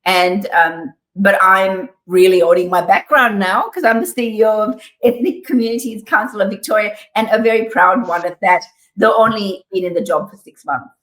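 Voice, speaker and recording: A woman's voice: medium pitch, fairly even intonation, moderate pace. A middle-aged woman from Sri Lanka, talking as a guest over a video call from her office, chatty with frequent um fillers and laughs. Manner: warm, chatty, laughs often, many um fillers. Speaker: guest, community organisation CEO. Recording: echoey room sound.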